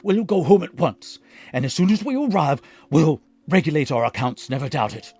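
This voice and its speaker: A man voicing an old woodcarver who scolds loudly and threatens. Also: fairly high pitch, sing-song, brisk, slightly rough, no echo, soft background music, pitched above the performer's own voice. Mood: threatening.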